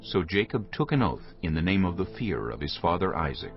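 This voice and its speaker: A man's voice: deep voice, sing-song, fairly quick. A man narrating a dramatized scripture reading from a script. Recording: dull lo-fi audio with music behind.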